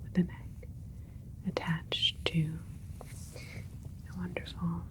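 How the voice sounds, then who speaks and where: A woman playing a gentle alien scientist in a whispered ASMR sci-fi roleplay, explaining things in a soothing, clinical way. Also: lowish voice, monotone, extremely slow. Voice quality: breathy whisper throughout. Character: gentle alien scientist doctor, proud of a hybrid creation.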